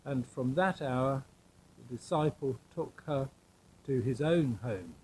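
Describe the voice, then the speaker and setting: A man reading scripture aloud in a measured style with short phrases. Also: medium pitch, lively intonation, a little mellow, a little hiss or hum, low-quality recording. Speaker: scripture reader.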